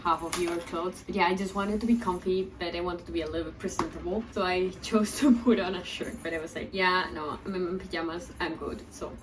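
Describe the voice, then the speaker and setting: Young woman vlogging at home, rambly casual chat, mic a bit far, clear rain noise behind her. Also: fairly low pitch, steady tone, fairly quick, hardly any pauses. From Basque Country, Spain. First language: Basque. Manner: informal, rambling, frequent "like" asides. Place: indoors at home, under a skylight. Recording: distant mic, clearly noisy room, rainstorm. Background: storm rain noise.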